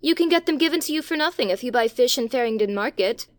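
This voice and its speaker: A high, hasty, pushy boy for an audiobook. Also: normal speed. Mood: argumentative. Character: quick, argumentative young boy. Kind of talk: audiobook character voice.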